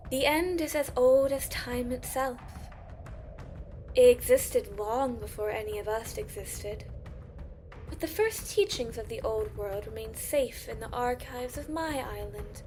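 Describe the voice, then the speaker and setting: A woman playing a solemn, ancient lore-keeper who gravely tells old tales in a fantasy audio drama. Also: on the high side, unhurried, lightly acted. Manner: grave, storytelling. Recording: music behind the voice.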